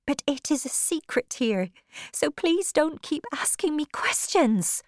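A woman's voice: sits high, lively intonation, measured pace, no room sound, studio quality, pitched above the performer's own voice. A woman voicing a distressed but proud young married farm woman in an audiobook, protesting urgently. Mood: alarmed refusal.

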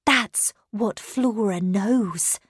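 A woman's voice: medium pitch, drawn-out words, husky, dry recording, studio quality. A woman voicing an anxious, insistent young governess in a storybook narration, speaking in an urgent, emphatic, impatient manner. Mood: grave.

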